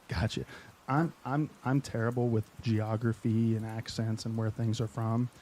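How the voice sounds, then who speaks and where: A man's voice: fairly low pitch, level tone, measured pace, warm tone, slight background noise. A man hosting a live stream, chatting enthusiastically with a guest and passing on questions from chat. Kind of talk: casual interview chat.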